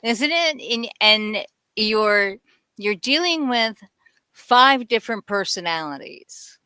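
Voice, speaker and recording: A woman hosting a radio interview, chatty and quick to laugh, asking long rambling questions. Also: a little high, expressive, pushed and bright, clean tone, no echo. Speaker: radio show host. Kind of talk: casual interview chat.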